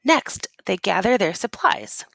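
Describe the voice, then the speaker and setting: A woman reads a children's storybook aloud like a teacher, unhurried and very expressive in pitch. She leans on key words and puts questions to her young listeners. The sound is close and dry. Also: creaky, no room sound. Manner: deliberate, dramatic emphasis on key words. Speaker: teacher reading a picture book aloud.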